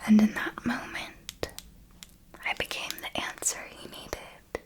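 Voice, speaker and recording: A woman's voice: sits high, wildly swooping pitch, takes it slowly, heavily acted. A woman voicing an ancient, serenely ruthless and darkly tender female vampire mentor in an ASMR roleplay, speaking formally and coaxingly in a whisper. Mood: cold, remorseless.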